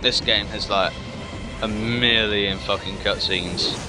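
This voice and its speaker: A man's voice: fairly low pitch, steady tone, slow pace. A man giving casual let's-play commentary as a gaming host, rambling and jokey with some swearing. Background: game music.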